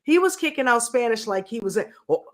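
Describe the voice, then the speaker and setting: A woman casually reviewing a reality show on a livestream, slangy and animated, acting out quotes in a mocking tone. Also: a little high, a little fast. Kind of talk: casual reaction livestream chat.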